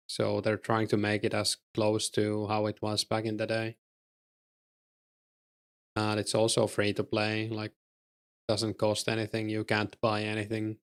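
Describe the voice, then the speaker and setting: A man's voice: lowish voice, clean tone. A man with a Finnish accent chatting casually with his stream chat while he plays, answering viewers' questions with an easygoing, filler-laden delivery. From Finland. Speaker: game streamer.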